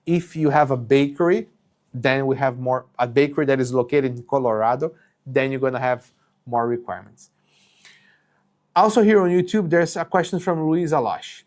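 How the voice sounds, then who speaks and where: A man's voice: mid-range voice, normal speed, darkish tone. Portuguese-speaking man fielding live questions. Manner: conversational, many fillers and restarts, checks in with "okay?". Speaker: company co-founder answering live questions. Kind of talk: live Q&A explaining business rules. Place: home studio with shelves and green lighting.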